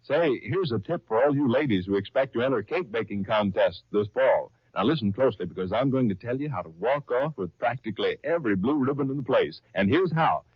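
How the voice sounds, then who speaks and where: A man's voice: mid-range voice, animated, a little fast, few pauses, breathy edge, no room sound, faint music behind. A man working as a radio announcer and narrator in 1930s style, reading from a script with theatrical emphasis. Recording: dull, lo-fi 1930s radio recording.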